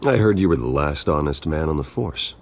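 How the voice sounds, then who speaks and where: A man's voice: very deep voice, animated, a little fast, heavily acted. A man playing a terse, determined billionaire vigilante in a dramatic video game, with stern, resolute delivery. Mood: calm, guarded.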